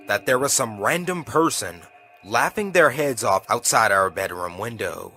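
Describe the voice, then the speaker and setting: A man narrating a scripted first-person horror story, telling it dramatically with pauses over background music. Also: lowish voice, sharp tone. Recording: music behind the voice.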